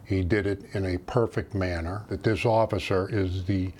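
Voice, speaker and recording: A man in his sixties, a retired federal agent, recalling events in a formal, measured interview manner. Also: deep voice, steady tone, darkish tone, a little hiss or hum. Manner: formal, measured, official phrasing. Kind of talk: interview recollection. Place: small private office, indoors.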